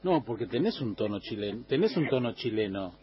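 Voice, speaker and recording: A man's voice: mid-range voice, sing-song, a little fast, breathy edge, dull audio. A man, a radio interviewer asking brief questions. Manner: brief, with short affirming murmurs.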